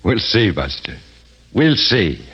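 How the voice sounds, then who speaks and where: Casting: gangster henchman, lowish animated voice, streetwise slang, 1950s crime radio play. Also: slow, over loud music. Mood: menacing. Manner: slangy tough-guy talk. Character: tough, pushy male gangster henchman. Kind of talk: 1950s radio drama acting. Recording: muffled, noisy vintage radio recording.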